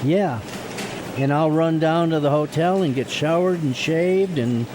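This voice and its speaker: A man's voice: on the high side, unhurried, background noise, natural voice. A man acting in a radio drama as a good-natured, lovestruck young lawyer who stands up to his father. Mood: earnest, smitten.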